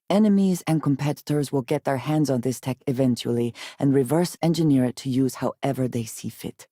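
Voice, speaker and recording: A serious guarded space companion lady for a game, lowish voice, measured n formal, super dry sound. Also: darkish tone, no echo. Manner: measured, formal phrasing with few contractions. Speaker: video game voice actress. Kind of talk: scripted game character voice lines.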